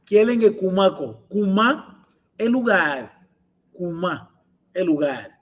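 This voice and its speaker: A man teaching an online language class, explaining and translating phrases and repeating them slowly for learners. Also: high-pitched, sing-song.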